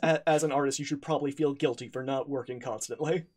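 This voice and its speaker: A man's voice: medium pitch, fairly even intonation, fast, darkish tone. Stammering joking man hosting a game. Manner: stammering restarts, joking, laughs a lot. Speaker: game master hosting a charity stream. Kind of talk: casual chat, introducing players.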